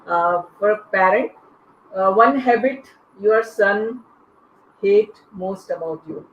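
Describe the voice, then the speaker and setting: Halting panel host on an echoey, muffled call. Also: mid-range voice, a little hiss or hum. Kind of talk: unscripted online panel moderation. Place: online video call.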